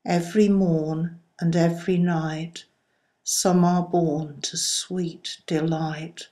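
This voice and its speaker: A woman reciting poetry in a solemn style, with measured, deliberate phrasing. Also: on the low side, flat delivery, mellow. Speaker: poetry reader.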